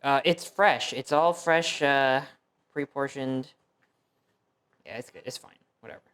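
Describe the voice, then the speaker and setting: A man's voice: mid-range voice, measured pace, a bit bright, smooth. A young man from the United States whose first language was Fuzhounese, chatting loosely on a cooking stream with lots of fillers and self-deprecating asides. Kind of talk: casual cooking stream chat.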